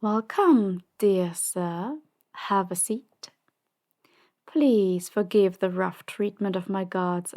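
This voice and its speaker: A woman playing a commanding, power-hungry crime boss in an audio drama, measured and condescending, with polite menace. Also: medium pitch, warm tone. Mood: silky politeness. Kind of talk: audio drama roleplay acting.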